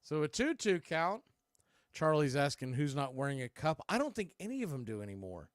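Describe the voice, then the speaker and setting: A man's voice: on the high side, sing-song, a little fast, no echo, studio quality. An American man calling a baseball game live as play-by-play host, with folksy, conversational asides. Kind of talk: live sports commentary with casual asides.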